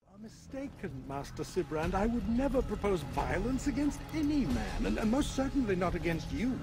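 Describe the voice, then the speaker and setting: An actor voicing a frightened, pleading cleric in a video game, stammering and deferential. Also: male voice, high-pitched, very animated, moderate pace. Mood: nervous deference. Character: frightened, pleading male cleric protesting his innocence. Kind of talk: video game drama acting. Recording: dramatic music behind the voice. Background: music.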